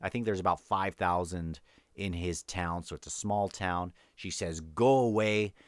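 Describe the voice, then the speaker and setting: A man's voice: low voice, measured pace, no room sound, studio quality. A man hosting an unscripted read-along book discussion, thinking aloud in a hesitant, rambling way.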